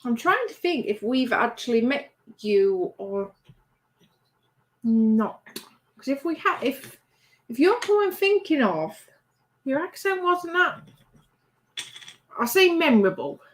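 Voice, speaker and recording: Chatty woman, slightly high voice. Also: big pitch swings, slow, pauses often. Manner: teasing, chatty, trails off mid-sentence. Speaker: livestream host reading chat. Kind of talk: casual chat.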